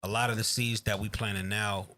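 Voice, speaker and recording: A man's voice: on the low side, level tone, a little fast, pushed and bright. A man, a guest rapper, talking casually and emphatically, repeating his call to buy music. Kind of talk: casual chat.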